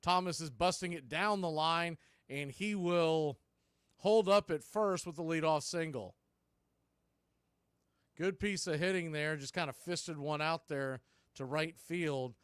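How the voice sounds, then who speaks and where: A man calling a baseball game pitch by pitch as a fan radio host, mixing play calls with opinionated chat. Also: measured pace, smooth, no room sound, studio quality. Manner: conversational, opinionated fan commentary.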